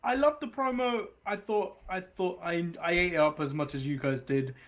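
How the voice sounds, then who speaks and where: A man co-hosting a wrestling podcast, offering measured, somewhat hesitant opinions in casual chat. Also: high voice. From England.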